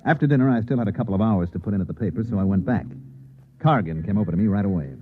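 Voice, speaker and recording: An American actor playing an easygoing, protective newspaper reporter in an old-time radio drama, smooth-talking, wry and reassuring. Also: male voice, on the low side, racing, soft background music. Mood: wry narration. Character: easygoing, protective newspaper reporter narrating his own story. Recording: tinny narrow-band vintage radio, noisy.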